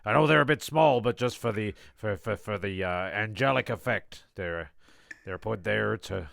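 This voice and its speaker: A man's voice: lowish voice, normal speed, vocal fry, fairly bright tone. A man playing a flustered, earnest, stammering director of a shambolic production in a comic audio drama.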